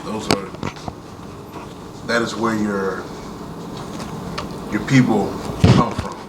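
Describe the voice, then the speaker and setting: A man in a congregation who answers a question from the floor, first reciting a formal invocation and then explaining haltingly. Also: on the low side, steady tone. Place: temple hall. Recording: distant voice, roomy hall, loud hiss.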